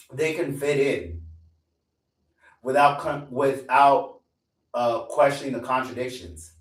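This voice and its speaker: A man's voice: medium pitch, far from the mic. A man in his twenties hosting a live stream in a casual, profane rant that repeats lines for emphasis. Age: 20s. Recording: echoey room, away from mic.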